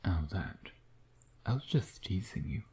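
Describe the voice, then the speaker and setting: Man playing a low, mellow, teasing vampire. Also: lively intonation, unhurried. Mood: teasing, soft. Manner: flirtatious, teasing, murmuring with breathy asides. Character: teasing, smug male vampire doting on his bitten prey. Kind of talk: intimate ASMR roleplay acting.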